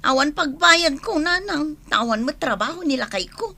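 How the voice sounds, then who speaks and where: A woman's voice: high voice, animated, moderate pace, gravelly, a little hiss or hum, exaggerated voice. A woman playing an exasperated, nagging mother and wife in an Ilocano radio comedy drama, scolding dramatically. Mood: worried, weary. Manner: scolding, dramatic, loudly calling out.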